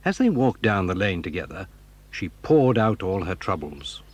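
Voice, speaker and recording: A man narrating a dramatised children's story in a calm storytelling manner. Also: deep voice, moderate pace. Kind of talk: scripted narration.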